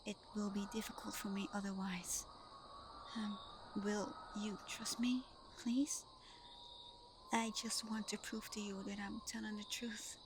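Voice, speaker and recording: A woman playing Santa's gentle, bashful adopted daughter in an ASMR roleplay, soft-spoken, hesitant and apologetic. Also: medium pitch, flat delivery, measured pace, background noise, close to an everyday voice. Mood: reassuring. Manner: soft-spoken, hesitant, breaking into little giggles.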